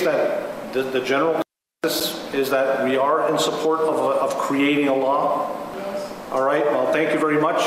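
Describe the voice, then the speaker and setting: A man facilitating a community meeting, speaking haltingly as he searches for words. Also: on the high side. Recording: very echoey room, distant mic, noisy.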